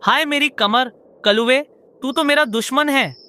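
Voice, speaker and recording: A woman's voice: high-pitched, unhurried, pushed and bright. A woman voicing a grumpy male bird thief in a Hindi cartoon. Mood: angry, panicked.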